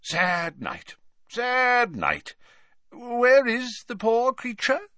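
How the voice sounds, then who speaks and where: A man voicing a pompous older male professor, slow and theatrical in his speech, sounding set back from the mic. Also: very high-pitched, huge pitch swings, sharp tone, dry recording, clean studio sound, voice pushed up, heavily acted.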